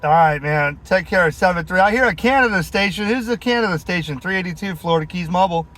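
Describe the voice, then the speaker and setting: A man's voice: high voice, expressive, quick pace. A man in a vehicle talking casually as a CB radio operator, friendly, using radio lingo and call signs. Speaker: CB radio operator, video host. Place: inside a vehicle, mobile radio. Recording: clear background noise, vehicle and radio clicks. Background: radio noise and vehicle sound.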